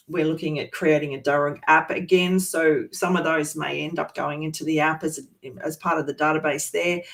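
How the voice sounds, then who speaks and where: A teacher from Australia's east coast, presenting a teaching resource in a relaxed, chatty way with many pauses and restarts. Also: mid-range voice, vocal fry. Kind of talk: informal presentation to educators.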